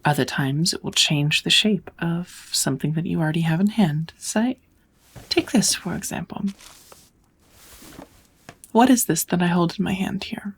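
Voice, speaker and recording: A woman playing a kind, patient witch who teaches magic in an ASMR roleplay, speaking softly and often in a whisper, in a gentle, measured, encouraging way. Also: lowish voice. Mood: patient, explaining. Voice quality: soft, breathy, often whispered.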